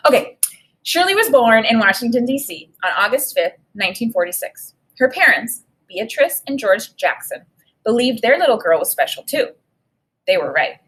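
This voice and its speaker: A woman teacher reading a children's book aloud and pausing to think aloud about it. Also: fairly high pitch, husky, low-quality recording. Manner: reads with expressive emphasis, pauses to think aloud. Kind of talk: read-aloud lesson with think-aloud.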